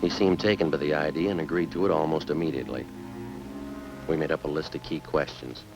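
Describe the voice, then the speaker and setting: A man playing a terse, unflappable police sergeant in a radio crime drama, speaking in a clipped, deadpan, matter-of-fact way. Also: rumbling low, a little fast, music in the background. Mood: flat, procedural. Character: terse, unflappable male police sergeant narrating his case.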